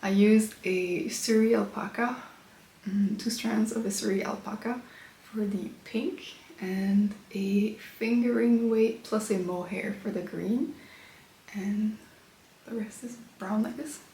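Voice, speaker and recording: A woman hosting a knitting podcast from her apartment, slightly congested from a cold, chatting in a relaxed, casual way. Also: mid-range voice, takes it slowly. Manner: relaxed and chatty, with frequent fillers. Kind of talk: casual chat showing knitting. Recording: echoey room with faint background noise.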